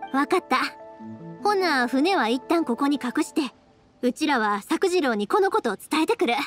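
An actress playing a cheerful, warm-hearted young woman with a Kansai accent in an anime game, speaking in a friendly, breezy, folksy way. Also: high-pitched, expressive, normal speed, faint music behind. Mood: earnest.

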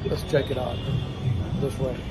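A man's voice: medium pitch, level tone, measured pace, very noisy. A man in his forties narrating a casual travel vlog in short, upbeat phrases, filming himself outdoors with a phone at arm's length. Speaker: travel vlogger. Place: outdoors on city streets and in a rickshaw. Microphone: phone held at arm's length for selfie video.

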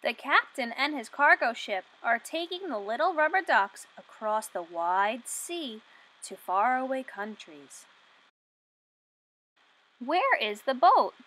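A woman in her twenties reading a picture book aloud for young children, asking questions and enunciating deliberately. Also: a little high, sing-song, sharp tone, low-quality recording. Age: 20s.

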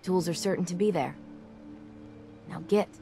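A woman voicing a gruff, dry-humoured shipwright in a video game, with a blunt, deadpan manner. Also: on the low side, moderate pace, music in the background.

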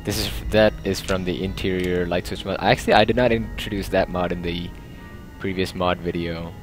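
A man's voice: low voice, steady tone, brisk, soft background music. A young man casually narrating a game, rambling and joking as he plays. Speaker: let's play host. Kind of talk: casual gameplay commentary.

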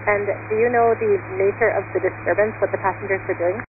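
A woman's voice: medium pitch, steady tone, fast talker. A woman working as an air traffic controller, giving procedural instructions over aviation radio. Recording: phone-like radio channel, clear static hum.

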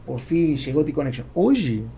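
A man in his forties with a Spanish accent in Portuguese, talking casually and haltingly as a podcast guest. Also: fairly high pitch, very animated, vocal fry, mellow. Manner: halting, with repeated words. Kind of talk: casual interview talk. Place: small foam-padded podcast studio. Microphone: close studio microphone on boom arm. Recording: faint background noise.

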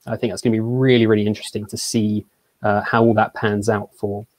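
A young English man from Surrey, a brand ambassador presenting in an online tasting, relaxed and hesitant with many fillers. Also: on the low side, quick pace, no echo. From England (Surrey). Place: small home room. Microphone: video call.

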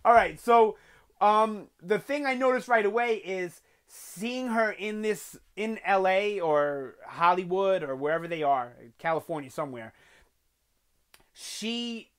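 Young New guy hosting a TV review channel, animated and loose, lots of "um" and "you know", webcam sound. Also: high-pitched, unhurried, smooth. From New York. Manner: animated, gesturing a lot, chatty with fillers. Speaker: TV review host. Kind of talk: casual video review. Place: small bedroom with collectibles on shelves.

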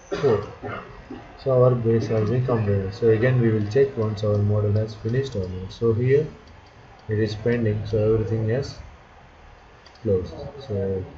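Slow, low-voiced man giving a software tutorial. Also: background noise. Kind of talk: step-by-step screen tutorial narration. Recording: dull lo-fi audio, background chatter, clicking.

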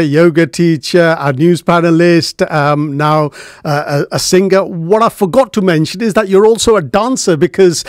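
A man's voice: on the high side, dry recording. A middle-aged man presenting a radio show, asking a guest friendly questions in a studio. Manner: friendly questions with many uh fillers. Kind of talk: casual radio interview. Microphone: studio microphone with headphones.

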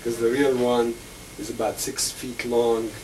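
A man's voice: medium pitch, little pitch movement, unhurried, a little mellow. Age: 60s. Sex: man. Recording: distant, noisy tape. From Egypt. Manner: patient explaining, moves about handling instruments. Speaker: musician and lecturer. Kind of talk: instrument demonstration and interview. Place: indoor room full of instruments, then an office with bookshelves. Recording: distant, hissy old videotape.

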